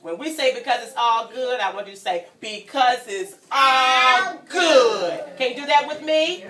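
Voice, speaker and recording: A woman reading a story aloud to young children in an animated, teacherly way, prompting them to join in and breaking into sung refrains. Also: high voice, measured pace. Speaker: guest story-time reader for young children. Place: indoor room at a childcare centre. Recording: echoey room, distant mic, children around.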